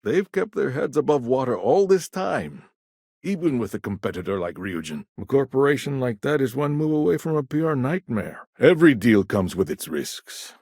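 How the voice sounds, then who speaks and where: An actor playing a shrewd, guarded businessman in a video game, with a measured, wry, businesslike way of talking. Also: medium pitch, very animated, a little mellow, breathy edge, dry recording, clean studio sound. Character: shrewd, guarded corporate businessman, smooth-talking and self-assured. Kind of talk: scripted game dialogue.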